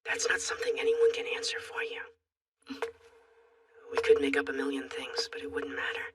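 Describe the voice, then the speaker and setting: A woman voicing an insecure, brooding young boy in an emotional animated drama, sullen, blunt and vulnerable. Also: very deep voice, moderate pace, recorded from a distance, exaggerated voice. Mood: somber.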